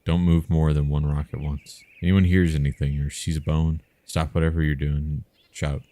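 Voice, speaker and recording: A man voicing a gruff overseer in a story reading, giving orders in a commanding, emphatic manner. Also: a bass voice, level tone, moderate pace, mellow, no room sound.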